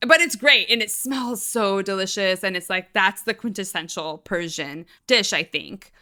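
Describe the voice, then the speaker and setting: A woman co-host talking casually on a podcast, her voice bright and clear. She chats loosely, leans on "like" as a filler, and laughs easily. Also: mid-range voice, moderate pace. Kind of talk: casual chat.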